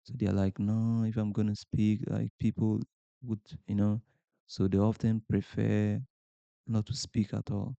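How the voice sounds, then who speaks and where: A man with a Ghanaian accent gives earnest, motivational advice. His voice is low, very dark and gravelly, and he asks rhetorical questions and repeats his points for emphasis. The sound is dry and a little muffled. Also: flat delivery, unhurried, no room sound. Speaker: podcast host. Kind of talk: motivational talk to camera.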